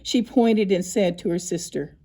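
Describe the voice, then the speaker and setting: A woman narrating a scripted children's story, with expressive, deliberate phrasing and dramatic pauses. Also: mid-range voice. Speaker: storybook reader.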